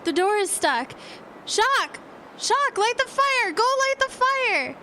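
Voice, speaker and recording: A woman's voice: very high voice, sing-song, a little slow, pushed and bright, heavily acted. An actress playing a determined, devoted but frightened woman in a blizzard, urgent and pleading, in a horror audio drama. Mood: urgent, alarmed. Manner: urgent, pleading, repeating herself. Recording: noisy wind and effects bed.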